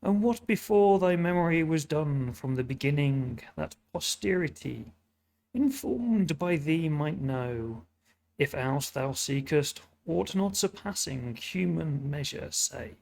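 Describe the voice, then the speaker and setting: A patient archangel offers to teach in solemn, instructive verse, as a British man reads the part. The hoarse, gravelly voice is stuffy and sits a little high for a man. The lines come slowly. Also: animated, a little mellow, heavily acted. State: sniffly and hoarse.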